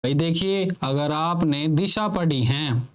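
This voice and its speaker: A male teacher gives a slow, unhurried lecture. His voice sits a bit high for a man. He repeats each point and keeps asking his students questions before he answers them.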